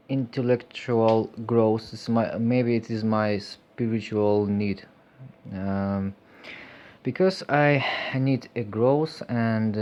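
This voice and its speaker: A man with a Russian accent speaks slowly and haltingly in a personal vlog, pausing often on fillers. His voice is dark and mellow, and the lo-fi recording sounds a little dull. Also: lowish voice. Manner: hesitant, halting, many fillers; reflective and earnest. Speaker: vlogger. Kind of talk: unscripted personal monologue.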